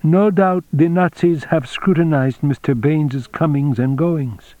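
A man voicing an elderly Japanese general in an audiobook, fatherly and shrewd, with formal, measured phrasing. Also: a little high, mellow, no room sound, highs cut off, voice pushed up, natural voice. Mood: explanatory, composed.

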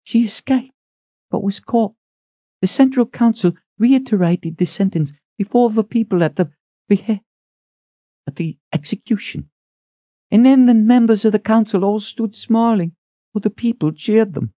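A man's voice: high voice, normal speed, mellow, dry recording, pitched above the performer's own voice, lightly acted. A man voicing a thin older woman, a head cook, speaking in an uneasy, broken voice. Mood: grieving. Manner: quavering, broken voice. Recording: dull lo-fi audio.

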